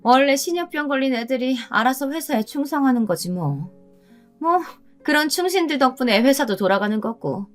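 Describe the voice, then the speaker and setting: A woman's voice: sits high, animated, normal speed, soft background music, pitched above the performer's own voice. A woman voicing a haughty, sneering older mother who scolds with condescension, in a dramatized story narration. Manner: condescending, scolding, mixes polite and casual speech.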